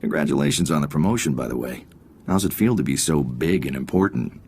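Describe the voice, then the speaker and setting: A wry, collegial military intelligence officer in a video game. The voice is extremely low, with a businesslike delivery. A faint ambience of noise sits behind it. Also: male voice, a little fast, gravelly, a little mellow. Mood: friendly, teasing. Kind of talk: scripted video game dialogue.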